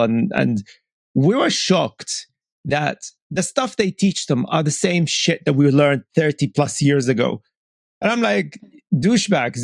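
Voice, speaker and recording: A man hosting a casual podcast interview, blunt and animated. His voice is pitched fairly high with a gravelly fry, and he repeats words as he restarts his sentences. The sound is dry and close-miked. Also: no room sound. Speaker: podcast host.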